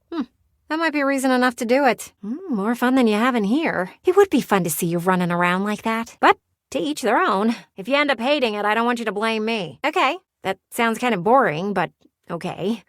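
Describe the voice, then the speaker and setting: An actress voicing a playful, sardonic living window in a video game, with a teasing, theatrical and blunt delivery. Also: female voice, fairly high pitch, dry recording, studio quality. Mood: playful, wry. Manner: teasing, theatrical, blunt, sighs and drawn-out words. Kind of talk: video game character voice acting.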